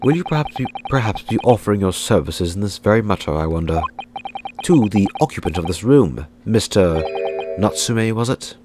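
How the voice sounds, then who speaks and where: A man voicing an eccentric, pompous gentleman detective, reading the lines theatrically. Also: on the low side, expressive, moderate pace, noisy background, natural voice. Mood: grandiloquent. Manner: grandiloquent, theatrical. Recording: game music behind.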